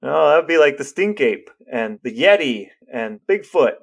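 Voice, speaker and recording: A man's voice: a little high, sing-song, normal speed, gravelly. A man co-hosting a podcast in casual chat, with a wry, dry sense of humour. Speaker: podcast co-host.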